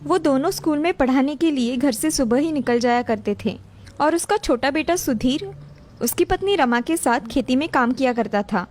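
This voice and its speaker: A woman's voice: high voice, expressive, normal speed, darkish tone. A woman narrator reading a scripted moral story for a cartoon. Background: music.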